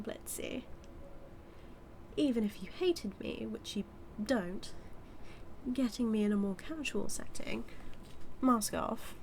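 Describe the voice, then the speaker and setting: Woman to act British villainess for intimate audio drama; unhurried, smug, seductive teasing of a superhero rival, light ambient noise underneath. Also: mid-range voice, gravelly, darkish tone. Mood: teasing, coaxing. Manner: teasing, sly, flirtatious, drawn-out delivery. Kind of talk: intimate audio roleplay monologue.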